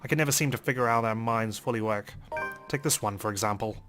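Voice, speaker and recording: Casting: male game narrator, theatrical wry first-person read, quick pace, music underneath. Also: medium pitch. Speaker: let's play narrator. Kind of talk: dramatic first-person visual novel reading.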